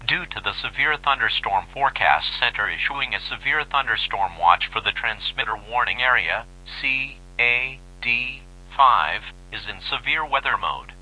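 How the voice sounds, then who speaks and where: A man's voice: medium pitch, expressive, normal speed, hardly any pauses, bright, forceful tone, rough recording. A man reading a formal scripted station notice as a weather radio announcer, impersonal and synthetic in delivery.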